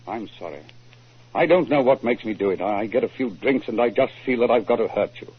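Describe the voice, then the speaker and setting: An actor in a 1940s radio drama, playing an educated gentleman with a clipped, theatrical delivery. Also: medium pitch, brisk, slightly rough, lightly acted. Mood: contrite. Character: bitter, hard-drinking writer husband; also coolly incisive gentleman detective. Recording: muffled lo-fi 1940s radio recording.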